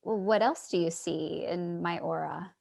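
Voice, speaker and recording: A woman's voice: lowish voice, measured pace, creaky, darkish tone. An actress playing a thoughtful, hesitant, searching woman in a staged play reading over a video call. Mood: curious, hesitant.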